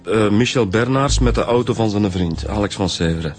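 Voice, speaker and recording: Easygoing Flemish detective, lowish voice actor. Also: male voice, brisk, natural voice. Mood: hesitant explaining. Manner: hesitant, colloquial, tag-heavy explaining. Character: easygoing, slightly evasive male homicide detective. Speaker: actor in a radio drama. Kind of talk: naturalistic radio drama dialogue. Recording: radio drama with music bed.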